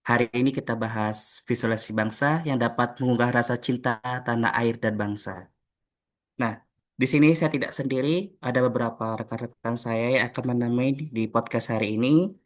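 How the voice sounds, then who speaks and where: A young man from Jakarta hosting a casual group podcast, friendly and relaxed, often pausing on "eh". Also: medium pitch. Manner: friendly, relaxed, frequent filler "eh". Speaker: podcast host.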